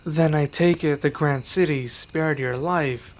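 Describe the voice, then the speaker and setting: A man's voice: a little high, measured pace, slight background noise, lightly acted. A man playing an earnest young werewolf learning magic in an ASMR roleplay, speaking to one listener with some hesitation. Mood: hesitant, curious.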